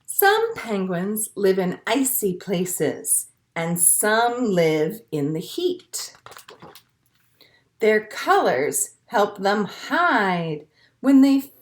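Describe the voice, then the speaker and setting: A woman's voice: medium pitch, very animated, extremely slow. A woman leading a children's storytime as a librarian, reading picture books and rhymes aloud in simple, encouraging phrases. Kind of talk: animated read-aloud storytime for young children.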